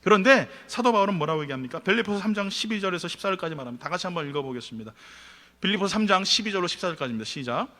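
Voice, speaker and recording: A man preaches a sermon in a church sanctuary, talking quickly in a bright, high voice. He swings from emphatic peaks to quiet asides. A long reverb from the hall trails his words. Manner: animated preaching, rising emphatic then dropping to quiet asides. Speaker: pastor.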